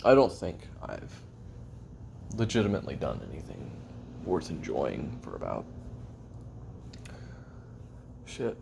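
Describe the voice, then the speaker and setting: A man playing a weary, lonely, bitter assassin recording a halting, confessional audio-drama memoir in a gravelly voice. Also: very deep voice, huge pitch swings, noisy background, full character voice. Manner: halting, brooding, self-deprecating, trailing off. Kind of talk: confessional audio roleplay monologue.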